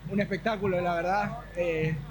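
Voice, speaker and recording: A man's voice: high voice, fairly even intonation, moderate pace. A man from Corrientes, an earnest, enthusiastic football fan. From Corrientes, Argentina. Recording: crowd noise outdoors.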